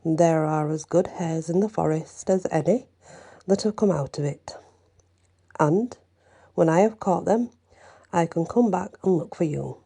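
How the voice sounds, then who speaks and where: A woman's voice: fairly low pitch, measured pace, vocal fry, long pauses, warm tone, husky, full character voice. A woman storyteller voicing an earnest, polite young prince.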